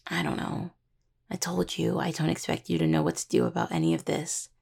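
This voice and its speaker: A woman playing an affectionate, playfully stubborn young necromancer healer in an intimate roleplay audio, with a husky voice and a halting, casual, teasing way of talking. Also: deep voice, little pitch movement, a little mellow, full character voice. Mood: worried, hesitant. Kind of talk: intimate roleplay audio, one-sided dialogue to listener.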